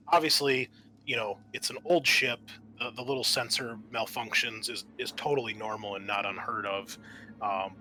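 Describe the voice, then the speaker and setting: A man's voice: fairly low pitch, sharp tone, slight background noise, rough recording. A man narrating a tabletop game as game master in a conversational, explanatory way.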